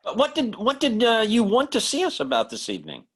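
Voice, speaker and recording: An actor playing an anxious young man who narrates a supernatural horror tale in old-time radio style, with a halting delivery. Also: very high voice, huge pitch swings, a bit bright, slightly rough, heavily acted. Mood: anxious, stammering. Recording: old-time radio drama with faint music.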